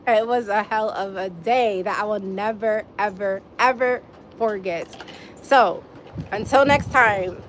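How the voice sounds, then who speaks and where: A woman's voice: medium pitch, a little slow, a bit bright, a little hiss or hum. A woman hosting a casual live stream outdoors, chatting straight to her viewers with frequent little laughs. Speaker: livestream host.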